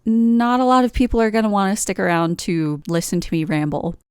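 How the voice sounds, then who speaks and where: A woman's voice: mid-range voice, steady tone, fairly quick, clean tone, no echo, faint music behind. A woman in her twenties from the United States, talking candidly and rambling through a reflective vlog monologue with lots of fillers. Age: 20s. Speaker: art vlogger.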